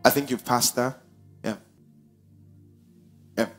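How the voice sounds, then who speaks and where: Nigerian pastor prompting a guest. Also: male voice, mid-range voice, steady tone, slow, soft background music. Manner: repeats and echoes guests' answers, prompting them. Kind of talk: sermon with audience Q&A. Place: large church auditorium stage.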